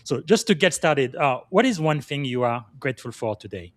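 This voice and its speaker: Congolese-born man hosting with many pauses. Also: medium pitch, sing-song, no room sound. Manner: frequent filler pauses, enthusiastic introductions. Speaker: podcast host. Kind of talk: hosting an interview.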